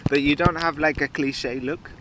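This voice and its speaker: A man's voice: a little high, steady tone, normal speed, a bit bright, poor audio. A young Australian man with a laid-back manner, chatting casually and narrating with lots of ums. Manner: laid-back, laughs often, many ums. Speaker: dating coach. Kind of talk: casual street chat and voice-over commentary. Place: outdoors in a city park. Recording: outdoor city noise, traffic.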